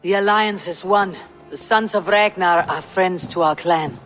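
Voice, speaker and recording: An actress playing a proud, commanding Viking clan leader in a video game, with a measured, declamatory manner. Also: female voice, on the low side, a bit bright. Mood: dry, composed. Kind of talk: video game cutscene acting. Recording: reverberant hall with music behind.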